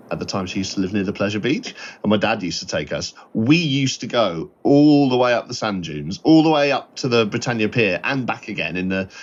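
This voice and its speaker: A middle-aged man reminiscing casually and chattily, repeating phrases for emphasis. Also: mid-range voice. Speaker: interviewee sharing memories. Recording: dull, slightly lo-fi sound.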